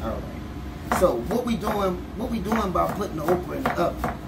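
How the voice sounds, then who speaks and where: A middle-aged man with a drawl cooks at home and chats as he goes, playful and quick to tease. He sounds set back from the camera in a roomy kitchen, with heavy noise underneath. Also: high voice, lively intonation, gravelly. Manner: playful, teasing, laughs often. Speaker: chef and cooking show host. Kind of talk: casual cooking demonstration. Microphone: far camera. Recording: echoey kitchen, distant mic, loud background noise.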